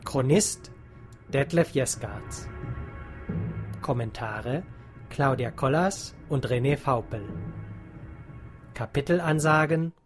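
Slow, measured male radio announcer. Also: mid-range voice. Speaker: announcer reading closing credits. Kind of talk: scripted radio credits announcement. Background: music.

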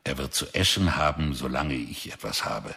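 An actor playing an old drifter father, proud and gruff, talking slowly and wearily with flashes of rage in a radio drama. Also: extremely low pitch, very noisy.

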